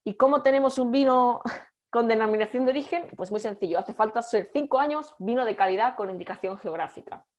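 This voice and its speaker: A young Catalan woman with a Catalan Spanish accent, giving an online lecture over a video call and speaking haltingly with frequent fillers and restarts. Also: on the high side, a little mellow, highs cut off. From Catalonia, Spain. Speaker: guest lecturer.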